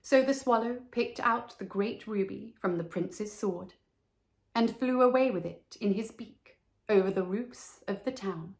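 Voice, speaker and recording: Woman reading a kids fairy tale, expressive, measured, really slow pace. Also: medium pitch. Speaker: storyteller. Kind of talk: scripted children's story narration.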